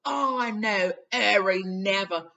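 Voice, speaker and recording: A man's voice: high voice, takes it slowly, sharp tone, distant mic, dull audio, pitched above the performer's own voice, full character voice. A woman voicing a huge, shaggy, loyal man who rants urgently in a storybook reading. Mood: frantic insistence. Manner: urgent ranting. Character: huge shaggy wild-eyed man, loudly agitated and loyal.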